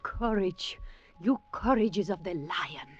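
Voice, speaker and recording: An actress in a 1940s radio drama playing a bold, mysterious, seductive woman with stilted, exotic phrasing and a flattering manner. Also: lowish voice, takes it slowly. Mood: admiring. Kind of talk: 1940s radio acting, melodramatic. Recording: dull, noisy restored 1940s radio recording.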